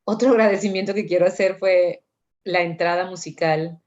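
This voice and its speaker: A woman from Venezuela, speaking as a guest researcher on a video call, warm and chatty with frequent fillers. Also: lowish voice, steady tone, normal speed, highs cut off. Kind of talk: conversational panel talk.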